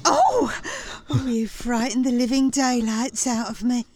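An actress playing a soft-hearted, vague young widow who is fond of bunnies, in an audio comedy drama. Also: female voice, a little high, unhurried, lightly acted. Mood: cooing, tearful.

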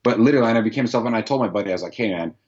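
A man with a deep voice answering interview questions in an earnest, reflective way, thinking aloud. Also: racing, a little mellow, dull audio. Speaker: podcast host, guest here.

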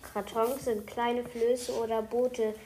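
A woman's voice: fairly high pitch, flat delivery, slow pace, heavy background noise. A woman playing a curious, enthusiastic girl who explains things carefully in a children's audio play. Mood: explanatory.

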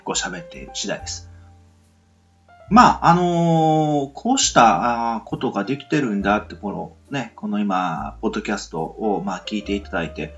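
A man hosting a solo podcast, rambling in short, halting phrases full of fillers as he thinks aloud. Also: on the low side, a bit bright, dull audio. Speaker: podcast host. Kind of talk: rambling solo radio talk.

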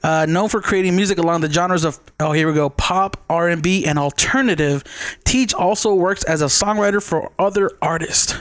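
A man hosting a casual podcast with a Mexican-American accent, talking upbeat and quick with plenty of slang. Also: sits high, no echo, studio quality. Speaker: podcast host.

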